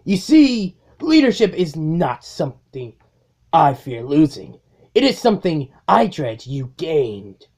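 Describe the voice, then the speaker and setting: A woman playing a cold, contemptuous robot warlord in a comic dub, speaking with slow, grandiose menace. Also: sits low, sing-song, mellow, pitched below the performer's own voice, exaggerated voice. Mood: menacing, controlled. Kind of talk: comic dub dramatic acting.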